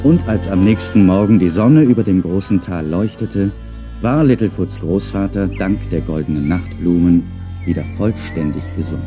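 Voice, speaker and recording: A man's voice: sits low, normal speed. A man reading scripted narration for a children's audio play, as a storyteller. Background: music.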